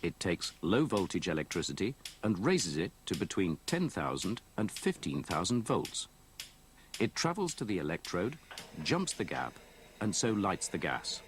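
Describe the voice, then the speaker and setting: A man reads scripted instructional narration in a measured, methodical, explanatory way, copied from an old VHS tape. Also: sits low, big pitch swings, slight background noise. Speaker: training film narrator.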